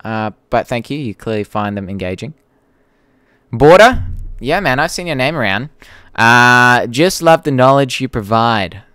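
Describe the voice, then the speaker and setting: Casual, clear-voiced Australian man. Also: medium pitch, expressive, unhurried, bright, forceful tone, no echo. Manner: blunt, informal, sweary. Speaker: YouTube creator hosting a live Q&A. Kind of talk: casual livestream chat reading viewer comments.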